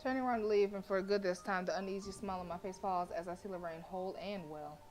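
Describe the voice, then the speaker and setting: Female game streamer, mid-pitched, dramatic read-aloud narration, casual asides, game music clearly behind. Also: fairly even intonation, quick pace.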